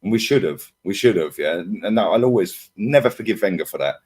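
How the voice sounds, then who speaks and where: A man's voice: lowish voice, expressive, brisk, a little mellow, husky. A young man talking football as a guest, tagging his sentences with "yeah?" and "bro". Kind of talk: casual football chat.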